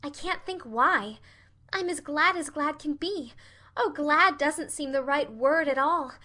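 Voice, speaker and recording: Storybook orphan girl, played by a woman: high, bright, wordy and dramatic, earnest and pleading. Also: a little slow. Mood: tearful joy. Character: earnest, imploring, dreamy young orphan girl, talkative. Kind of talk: audiobook character voice.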